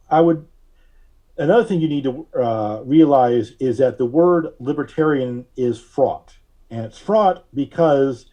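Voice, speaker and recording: A man giving measured, carefully worded explanations as a podcast guest. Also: medium pitch, warm tone. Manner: measured, deliberate, precise wording. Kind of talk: explanatory interview talk.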